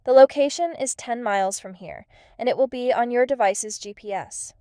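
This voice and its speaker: A woman's voice: a little high, normal speed, warm tone, smooth, dry recording, clean studio sound, close to an everyday voice. A woman playing a composed, probing intelligence handler in an amateur radio drama, direct and businesslike. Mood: businesslike, testing.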